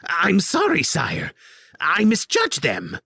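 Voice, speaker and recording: A man's voice: high voice, huge pitch swings, slow, creaky, sharp tone, husky, no echo, clean studio sound, voice pushed up, full character voice. A man voicing a panicked male spymaster who pleads and bargains frantically for his life. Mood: pleading.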